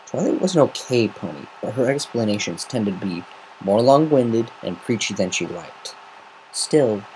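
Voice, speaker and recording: A man reading a story aloud as an amateur, in measured, expressive semi-dramatic narration. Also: on the low side. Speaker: amateur reader of fan fiction. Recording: noisy amateur home recording.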